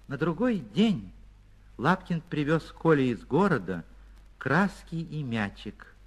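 A man reading a literary short story for radio as an actor, expressive and theatrical, with deliberate pauses. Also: mid-range voice. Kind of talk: dramatic literary narration for radio.

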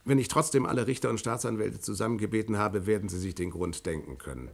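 An actor playing a formal, fair-minded court president in a radio play, with a husky, creaky voice and a measured, official manner. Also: male voice, fairly low pitch. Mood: solemn, official. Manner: measured, official, hesitant when pressed. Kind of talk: German radio play acting.

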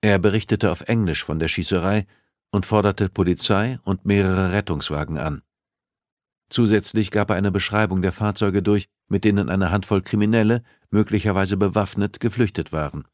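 A man's voice: deep voice, normal speed, dry recording, clean studio sound. A man reading a thriller novel aloud as scripted audiobook narration.

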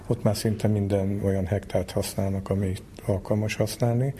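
A man's voice: lowish voice, flat delivery, mellow, slight background noise. A middle-aged or older man, an academic guest, explaining things informally in a plain-spoken way. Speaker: academic scientist, interview guest.

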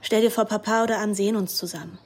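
An actress playing a young, cool and persuasive scheming daughter in a radio play. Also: female voice, mid-range voice, steady tone, fast talker, lightly acted. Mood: conspiratorial, persuasive. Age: young adult.

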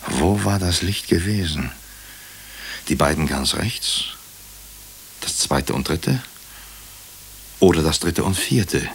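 An actor playing a wry, brooding hospital doctor who tells a murder mystery in a German radio drama, in measured, introspective, clipped phrases. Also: male voice, low-pitched, lively intonation, creaky, a little hiss or hum, exaggerated voice. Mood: dry narration. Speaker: narrator and lead character. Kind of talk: German radio drama, first-person crime narration.

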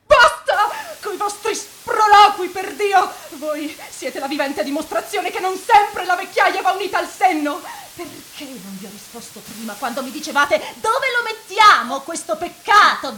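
A woman's voice: very high-pitched, expressive, measured pace, hardly any pauses, bright, forceful tone, a little hiss or hum, full character voice. A woman playing a defiant young male priest, declaiming with sardonic scorn in experimental radio theatre. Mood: furious scorn.

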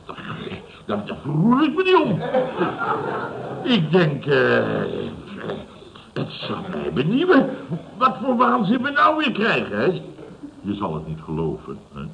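An actor playing a scornful, blustering fifty-year-old building contractor boss in an old radio comedy, mocking and repeating himself. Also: male voice, on the high side, huge pitch swings, measured pace, long pauses, sharp tone, heavily acted. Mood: indignant storytelling. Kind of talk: Dutch radio comedy acting around 1970. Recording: dull, noisy old radio recording.

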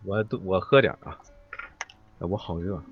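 A man's voice: low-pitched, normal speed, breathy edge. A man chatting casually as a guest on a live stream, joking and reassuring. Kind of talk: casual banter. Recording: dull lo-fi stream audio with music behind. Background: music.

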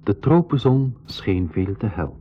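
A low male storyteller recounts his adventure in measured, literary phrases. The sound is boxy and narrow, like an old 1980s radio broadcast. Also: sing-song. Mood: reflective. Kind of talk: scripted first-person radio play narration. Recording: boxy, band-limited 1980s radio recording.

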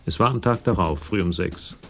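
An actor as a sober narrator of a German radio crime drama, measured and matter-of-fact. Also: male voice, low voice, over music.

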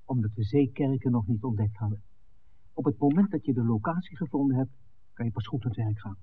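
An actor playing a calm, smitten male filmmaker in a 1960s radio drama. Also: medium pitch, a little fast, husky, natural voice. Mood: matter-of-fact. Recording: dull vintage 1969 radio recording.

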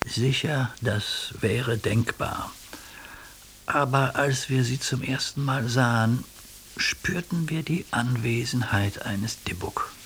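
A man's voice: medium pitch, slow, fairly bright tone. An actor in a radio drama playing an earnest, ailing older man who reflects on mystical experiences with educated diction. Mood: earnest, reflective. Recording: faint tape hiss.

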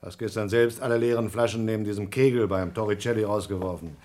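An actor playing a calm, resigned astronaut who talks in a dry, matter-of-fact way. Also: male voice, lowish voice, fast talker, slight background noise, natural voice. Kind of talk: 1970s radio play acting.

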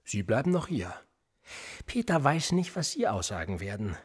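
A cool, manipulative police interrogator in a radio play. His voice is a little husky, and his pitch swings widely as he pushes a suspect with probing questions. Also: very animated, moderate pace. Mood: pressing. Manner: probing and insistent, pressing with pointed questions. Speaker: police officer.

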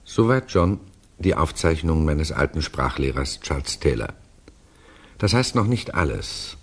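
Wry narrator reading notes, old german radio drama, low raspy-ish husky voice, really animated delivery. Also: male voice, unhurried, heavily acted. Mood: matter-of-fact. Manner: wry, emphatic, commenting as he reads. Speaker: frame narrator.

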